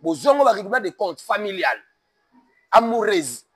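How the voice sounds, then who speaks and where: Congolese pastor, high voice, emphatic sermon cadence. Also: male voice, very animated, moderate pace, a bit bright, husky. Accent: Congolese French. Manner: emphatic, mixes French and Lingala, sermon cadence. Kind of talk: preaching-toned interview talk.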